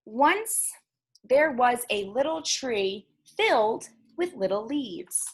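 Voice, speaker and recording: Children's storytime librarian, female, slightly high voice, slow delivery, expressive and encouraging read-aloud. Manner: expressive, encouraging, addresses young listeners with questions. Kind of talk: reading picture books aloud to children.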